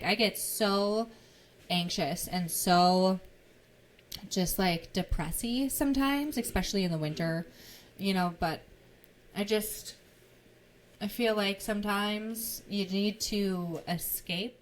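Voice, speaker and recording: A woman co-hosting a podcast, talking earnestly and eagerly in casual chat, with halting fillers. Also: medium pitch. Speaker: podcast co-host.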